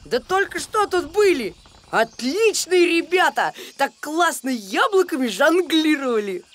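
A woman voicing an exuberant, trusting young animal adventurer with an excitable delivery in a children's cartoon. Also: high voice, unhurried. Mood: admiring. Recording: loud music behind the voice.

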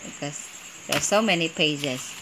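A woman's voice: deep voice, normal speed. A woman chatting casually with a young child, prompting and encouraging in an amused way, recorded on a phone in a bedroom. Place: small bedroom at home. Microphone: handheld phone. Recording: noisy home phone recording with insects.

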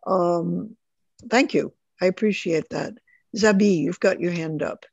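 A woman leading a group discussion on a video call, speaking in a measured, thoughtful way. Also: on the low side, vocal fry, a little mellow, husky, no echo. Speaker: group facilitator. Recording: slightly muffled dry video call audio.

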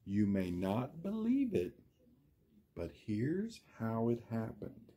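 A man reading a children's picture book aloud, speaking directly to the children like a schoolteacher, with theatrical drawn-out words and dramatic pauses. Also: fairly low pitch, huge pitch swings, dark tone, breathy edge. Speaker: storyteller, reader to young children.

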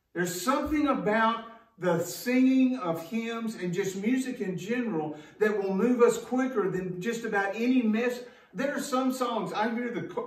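Man in his sixties, emphatic folksy pastor, sermon delivery, echoey church, distant mic. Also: high-pitched. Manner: emphatic, folksy, pausing for rhetorical questions. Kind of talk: sermon to a congregation. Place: church sanctuary, indoors at a wooden pulpit. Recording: echoey room, distant microphone.

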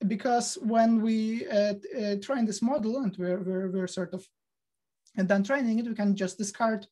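A man's voice: sits high, fairly even intonation, normal speed, a little mellow. A man giving an online lecture over a video call, hesitant, with many fillers and restarts.

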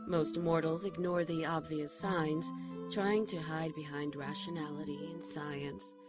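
An actress playing a solemn, stern, mystical woman in a radio drama, speaking in a formal, measured way. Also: sits low. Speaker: radio drama actor and narrator. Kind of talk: radio drama narration and dialogue.

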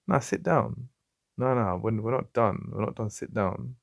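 A man with an English accent playing a jealous, possessive yet vulnerable boyfriend in an intimate ASMR roleplay, halting and conversational with a gravelly voice. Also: mid-range voice, expressive, dry recording, clean studio sound. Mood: agitated, insistent. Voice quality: gravelly, creaky. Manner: halting, repeating himself, conversational. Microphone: close, dry studio-style mic.

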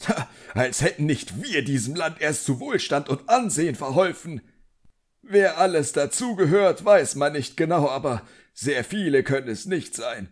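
Man voicing a high-pitched, blustering wizard official. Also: lively intonation, moderate pace. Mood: angry, scornful. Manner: indignant, pompous, barking. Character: irritable, blustering, contemptuous middle-aged male wizard official.

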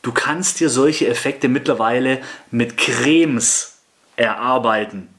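A man in his thirties, a makeup artist, reacting to a video with skeptical commentary, halting and repeating himself. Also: mid-range voice, fairly bright tone. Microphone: headset.

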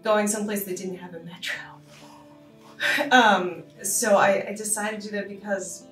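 A young woman from Boston on a casual podcast, animated and laughing often as she talks. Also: medium pitch, measured pace, soft background music. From Boston, USA. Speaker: podcast co-host. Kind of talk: casual chat. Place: conference room, indoors. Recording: roomy sound from a distant mic.

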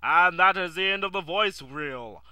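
A man's voice: high voice, animated, a little slow, sharp tone. A man presenting a voice demo reel as an amateur impressionist, casually addressing his viewers. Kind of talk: casual video introduction and sign-off.

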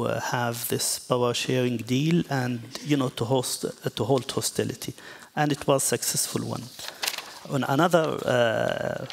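A man from Palestine, an English speaker, speaking as a panelist in a discussion, measured and hesitant with many fillers. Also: mid-range voice, creaky. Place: stone-walled hall, seated on stage.

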